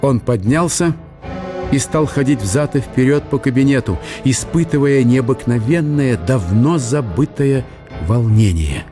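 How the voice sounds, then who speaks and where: A man giving a measured, storytelling narration of a literary radio drama. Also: mid-range voice, expressive. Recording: music under the narration. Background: music.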